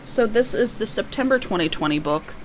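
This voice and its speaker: Slightly hoarse woman chatting, some background noise. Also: medium pitch, expressive, brisk, poor audio. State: losing her voice, slightly hoarse. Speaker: book vlogger. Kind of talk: casual unboxing chat.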